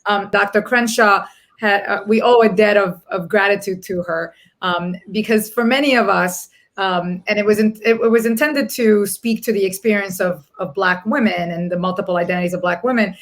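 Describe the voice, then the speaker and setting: A middle-aged woman raised in the Dominican Republic speaking on a panel over a video call, earnest and reflective, often pausing and restarting her sentences. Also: mid-range voice, steady tone. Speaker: panelist, corporate people executive. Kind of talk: panel discussion.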